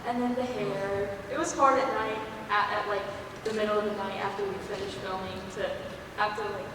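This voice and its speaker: Young actress on a con panel, easygoing, far from the mic, big echoey hall with noise. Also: female voice, medium pitch, steady tone, fairly quick. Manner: easygoing, lots of "like" and "um". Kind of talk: casual panel Q&A answers. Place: large convention hall.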